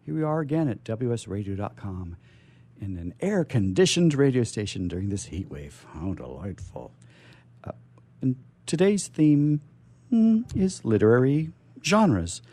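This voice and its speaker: A male radio host with a soft, mellow tone and a very lively, swooping pitch. He chats playfully and a little theatrically, often halting and doubling back on his words as he interviews. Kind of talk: live radio interview, chatty. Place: radio station studio.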